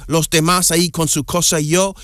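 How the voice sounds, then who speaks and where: American man speaking Spanish, high voice. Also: clear, resonant tone, no echo. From United States. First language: English. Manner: halting, restarts words, animated pitch swings. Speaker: guest. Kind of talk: casual interview chat.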